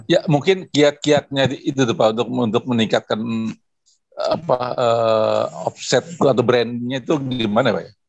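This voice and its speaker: A man's voice: mid-range voice, normal speed, gravelly, no echo. A man with an Indonesian accent, a noodle seller taking part in a video call, asking a question hesitantly and searching for words. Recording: dull, dry call audio.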